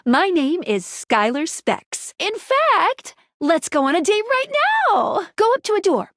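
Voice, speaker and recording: A woman's voice: very high-pitched, big pitch swings, unhurried, fairly bright tone, no room sound, clean studio sound, full character voice. A woman voicing a perky, quirky pair of talking magic glasses, a bubbly, self-deprecating guide in a video game, with cutesy, jokey delivery. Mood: bubbly, eager. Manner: bubbly, cutesy, jokey with playful baby-talk and asides. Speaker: game guide and tutorial character. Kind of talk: animated video game character voice acting.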